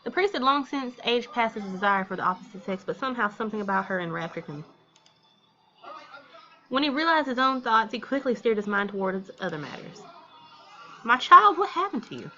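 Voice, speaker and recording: A woman's voice: medium pitch, animated, a little fast, sharp tone, dull audio. A woman doing casual let's play commentary, reading visual novel narration aloud with slangy, candid asides. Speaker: gaming video host.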